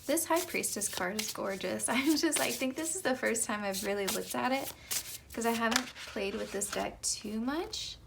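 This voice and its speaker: A young woman doing a casual tarot reading. She has just woken up and her voice is slightly raspy, and she talks in a chatty way with soft whispered asides. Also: a little high, normal speed, background noise. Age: young adult. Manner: chatty, light laughs, soft whispered card calls. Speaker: tarot reader.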